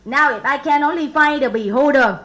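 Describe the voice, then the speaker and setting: A woman's voice: sits high, a little fast, a crowd in the background. A woman with a Vietnamese accent performing stand-up comedy in short one-liners.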